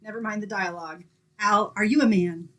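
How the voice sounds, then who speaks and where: A woman acting a sensible, sarcastic, exasperated roommate in an old-time radio comedy, with a husky voice and dry, impatient delivery. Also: medium pitch, a little fast, a bit bright, lightly acted.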